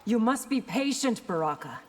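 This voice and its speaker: An actress playing a composed, dutiful princess in a video game, speaking in a firm, measured, formal way. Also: female voice, a little high, sing-song, a little mellow. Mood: patient, firm. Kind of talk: video game character dialogue. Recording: long reverb, clear background noise.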